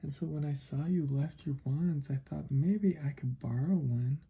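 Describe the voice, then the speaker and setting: A storyteller voicing a tiny, apologetic, squeaky mouse in a children's story. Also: unhurried, gravelly, warm tone, slightly rough, lo-fi sound, full character voice. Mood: apologetic, wistful.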